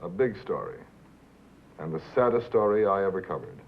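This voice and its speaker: A man's voice: low-pitched, sing-song, normal speed. An actor playing a wise-guy radio reporter in a 1950s film drama. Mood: solemn, grieving. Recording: tinny, narrow 1950s film soundtrack, faint noise.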